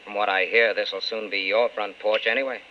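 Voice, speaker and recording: A muffled, faintly hissy old radio broadcast features an actor as a principled, wistful man. His voice is lowish and clear-edged, and he speaks in an earnest, measured, articulate way, like a romantic lead in a vintage drama. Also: steady tone, lightly acted. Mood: resigned. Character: principled, wistful man in love with a friend's wife.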